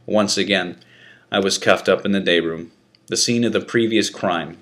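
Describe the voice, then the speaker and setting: A man narrating a written first-person memoir as a scripted reading, with a deliberate, dramatic delivery. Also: sits low, fairly bright tone.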